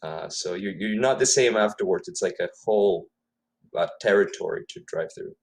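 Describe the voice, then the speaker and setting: Middle-aged male author from Ecuador, native Spanish, lowish voice, musing and self-correcting in conversation. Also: moderate pace, gravelly. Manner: thoughtful, self-correcting, repeats words. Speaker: author guest. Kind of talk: casual interview.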